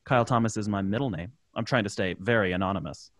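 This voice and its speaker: A man's voice: on the low side, fast talker, over a noisy crowd. A man chatting casually and jokingly among fellow podcast voice actors. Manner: joking, playful banter. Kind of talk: casual group chat.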